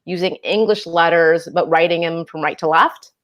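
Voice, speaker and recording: A woman's voice: lowish voice, steady tone, moderate pace. A woman in her fifties from the American Midwest, whose first language is Urdu, speaks on a video call as an author panelist. She tells her story reflectively, with lots of fillers. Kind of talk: casual panel discussion.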